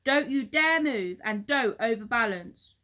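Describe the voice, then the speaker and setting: A woman voicing a furious, nasty old man from a children's storybook, shouting and barking orders like a bully. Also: on the high side, lively intonation, unhurried. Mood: barking, bossy.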